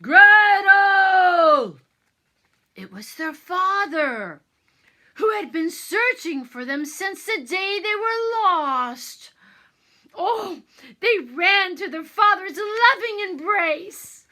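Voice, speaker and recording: A woman narrating a children's bedtime story in a theatrical, expressive manner, as a storyteller. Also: extremely high pitch, slow. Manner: theatrical, expressive, with exclamations and asides.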